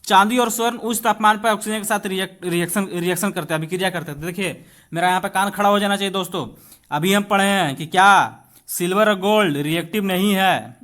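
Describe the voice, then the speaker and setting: Man, Indian accent, high-pitched voice, exam-prep teacher, instructive, reading out and explaining, addressing students directly. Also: fairly bright tone. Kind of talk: bilingual exam-question lesson, reading out and explaining.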